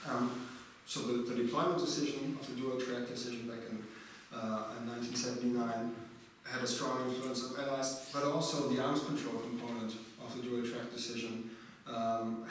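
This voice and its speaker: Panel expert, male, hesitant and flat, heard from far off in an echoey hall. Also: mid-range voice, slight background noise. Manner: hesitant, many fillers and restarts. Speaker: panel expert on arms control. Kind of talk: panel discussion answers.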